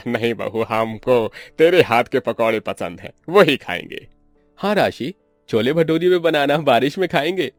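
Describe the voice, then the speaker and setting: A man's voice: medium pitch, expressive, measured pace, no room sound, soft background music, close to an everyday voice. A man voicing male relatives in a cartoon family drama, insistent and plain-spoken. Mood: cheerful.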